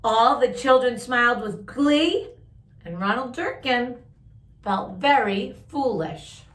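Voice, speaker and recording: A woman reading a picture book aloud to young listeners, with expressive drawn-out emphasis and chatty asides. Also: a little high, roomy sound. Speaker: children's story-time host reading a picture book aloud.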